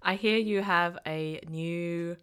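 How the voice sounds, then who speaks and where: A young woman hosts a casual conversation, asking questions in an informal, friendly-chat style. Her voice sits slightly low for a woman. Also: fairly even intonation, slow, fairly bright tone, clear, resonant tone. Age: young adult. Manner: informal, giggly. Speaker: podcast host.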